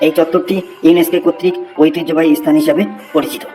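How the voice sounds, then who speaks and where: A man's voice: on the high side, little pitch movement, dark tone, soft background music, natural voice. A man voicing a schoolboy who recites a memorized essay in a cartoon. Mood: recitation. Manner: fast rote recitation.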